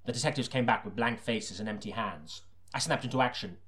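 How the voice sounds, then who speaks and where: Casting: male audiobook narrator, medium pitch, for a tongue-in-cheek noir detective story told with deadpan seriousness. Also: brisk.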